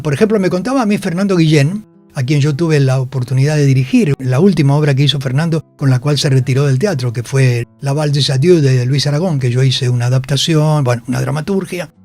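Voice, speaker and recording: Higher-pitched man telling theatre anecdotes. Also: a little mellow. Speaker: interviewed theatre actor and director. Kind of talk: conversational radio interview, anecdotal. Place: radio studio.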